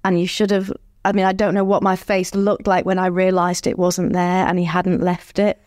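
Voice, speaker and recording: A woman, a former ballerina who now works as an audio describer, telling stories in a lively, good-humoured way. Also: lowish voice, moderate pace, no echo, clean studio sound. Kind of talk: conversational storytelling.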